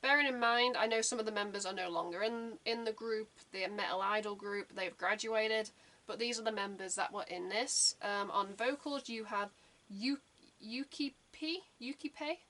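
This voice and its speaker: Bright-voiced chatty woman. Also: mid-range voice, moderate pace. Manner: rambling, chatty, many fillers. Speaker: reaction channel host. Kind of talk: casual reaction commentary.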